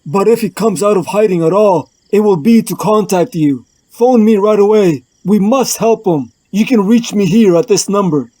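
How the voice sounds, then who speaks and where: Man acting a high-voiced, grave detective superhero. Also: moderate pace, few pauses, dark tone. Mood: brooding. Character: Batman, grave, driven adult male detective hero. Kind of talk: dramatic comic-book dub with inner monologue. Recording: music bed under the voice.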